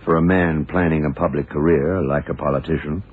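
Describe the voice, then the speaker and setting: An actor playing a gruff middle-aged FBI investigator in a radio drama, questioning in a dry, deliberate, probing way. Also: male voice, a bass voice, fairly even intonation. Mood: probing, suspicious. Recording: muffled, noisy 1960s radio drama recording.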